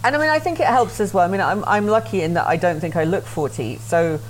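A woman's voice: lowish voice, lively intonation, fast talker, a little hiss or hum, rough recording. A woman in her late thirties, chatting casually and frankly, swearing freely and restarting her sentences. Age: 30s. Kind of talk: casual chat.

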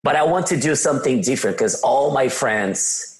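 A man's voice: mid-range voice, normal speed, low-quality recording. A man from Brazil with a Brazilian Portuguese accent, a guest telling his life story in an interview and acting out old conversations. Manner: animated, acts out his own past dialogue.

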